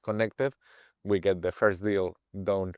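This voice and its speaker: A young man in his twenties speaks English with a Mexican Spanish accent. His low voice is smooth and clear, recorded dry and close, and he pauses now and then to search for the right word. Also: steady tone, no echo. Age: 20s. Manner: halting, searches for words in English. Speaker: guest, page owner. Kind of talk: casual interview chat.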